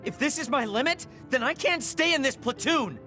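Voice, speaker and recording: A man's voice: very high-pitched, animated, normal speed, sharp tone, faint music behind, heavily acted. A young man playing a proud, insecure platoon fighter in an anime game dub, speaking in a brusque, intense, self-critical way. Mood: frustrated, despairing.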